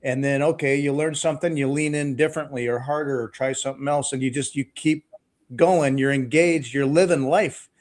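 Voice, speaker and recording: A man hosting an interview, easygoing and folksy, talking in a relaxed way with sayings and fillers. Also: medium pitch, a little fast. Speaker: podcast host. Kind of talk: casual interview conversation.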